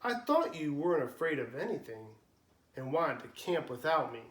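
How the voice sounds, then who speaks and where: A patient father mouse speaks gently and a little wearily, voiced by a man reading aloud. His pitch moves a lot, and the room around him rings. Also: sing-song, moderate pace, echoey room, recorded from a distance. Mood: weary gentle.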